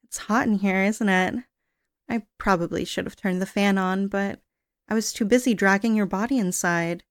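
A woman voicing an unstable, obsessive young woman in an audio roleplay, speaking in a breathy, sing-song coo that turns menacing. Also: medium pitch, steady tone, moderate pace, no echo, studio quality, lightly acted. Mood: sweet creepy.

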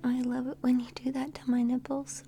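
A woman acting an affectionate, aroused lover in an intimate adult audio roleplay, speaking in a breathy, halting whisper with sighs between phrases. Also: high voice, monotone, mellow, faint music behind. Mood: flirty, coy. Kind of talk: intimate erotic audio roleplay addressed to the listener.

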